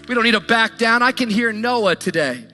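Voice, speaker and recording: A man's voice: extremely high pitch, lively intonation, normal speed, sharp tone, faint music behind. A man preaching an impassioned motivational sermon, emphatic and declamatory, repeating phrases for effect in colloquial language.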